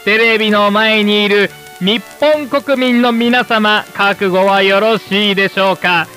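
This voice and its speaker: A man playing a rousing, showy host of an old-fashioned live TV special, with formal, emphatic, drawn-out announcing. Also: high-pitched, steady tone, bright, forceful tone. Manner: grandiose, emphatic, drawn-out phrasing. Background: background music.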